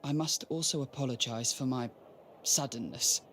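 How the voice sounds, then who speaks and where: An actor playing a humble young merchant in an audio drama, speaking with polite, formal deference. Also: male voice, mid-range voice, normal speed, fairly bright tone, slight background noise, close to an everyday voice. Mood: grateful, respectful. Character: humble, courteous, self-assured young male merchant. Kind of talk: video game audio drama acting.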